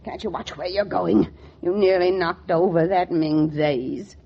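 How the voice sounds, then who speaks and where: A woman's voice: on the low side, animated, a little slow. An actress playing a stern, domineering older lady in a 1940s radio drama, scolding in an imperious, theatrical way. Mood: angry scolding. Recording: dull vintage 1940s radio recording.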